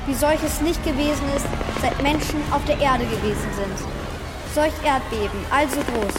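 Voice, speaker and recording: Woman acting as female prophecy reciter, high voice, solemn, declamatory, radio drama, loud music. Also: close to an everyday voice, low-quality recording. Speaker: actor.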